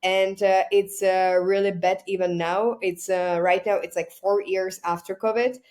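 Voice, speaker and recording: A woman with a Czech accent, hosting an interview, speaking English as a second language with many "uh" pauses while searching for words. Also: medium pitch, fairly even intonation, a little mellow. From Czech Republic. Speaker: podcast host. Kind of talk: casual interview chat.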